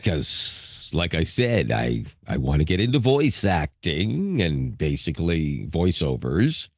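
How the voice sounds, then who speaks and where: A man co-hosting a casual podcast, animated and teasing, talking sports and tech. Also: slow, no room sound, studio quality. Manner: animated, teasing, jumps up in pitch when ribbing. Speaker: podcast co-host. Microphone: studio microphone.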